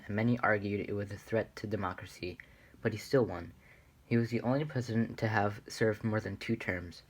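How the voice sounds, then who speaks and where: Fairly low-voiced male newsreader. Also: poor audio. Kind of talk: scripted history news reading.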